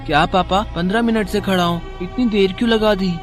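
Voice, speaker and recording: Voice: mid-range voice, fairly even intonation, a little fast, music in the background, lightly acted. A performer voicing a sweet, considerate young schoolboy in a cartoon moral story, earnest and childlike. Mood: impatient.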